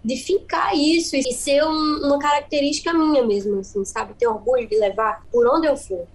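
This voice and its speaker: A woman's voice: high voice, steady tone, normal speed, rough recording. A young woman with a Paraíba northeastern Brazilian accent, a singer talking earnestly in a radio podcast interview, often repeating words for emphasis. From Northeast Brazil (Bahia-born, raised in Paraíba). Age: young adult. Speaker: singer-songwriter guest.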